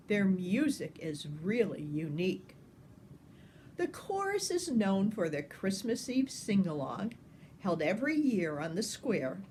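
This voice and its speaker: An older woman reading a children's picture book aloud with expressive, deliberate phrasing, like a grandmother talking to young listeners. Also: mid-range voice, a little hiss or hum.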